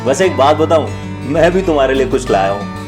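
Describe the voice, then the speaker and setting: A man's voice: mid-range voice, a little fast, over music, natural voice. A man playing an emotional merchant and devoted friend in an audio drama, speaking expressively. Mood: warm, grateful. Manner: expressive, repeats words emphatically. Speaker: voice actor. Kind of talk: audio drama acting in Hindi.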